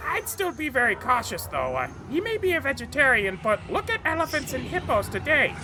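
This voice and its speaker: A woman voicing a bumbling male cartoon villain, a frantic mad scientist with a sharp, strained voice who rambles and exclaims theatrically. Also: high voice, big pitch swings, a little fast, over music. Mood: musing, wary. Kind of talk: cartoon fan tribute monologue.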